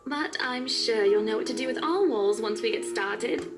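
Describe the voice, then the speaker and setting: A woman's voice: a little high, normal speed, lightly acted. A woman voicing a haughty, wealthy socialite wife in a visual novel game, gushing with condescending, sugary politeness. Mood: dismissive. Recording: music playing behind the voice.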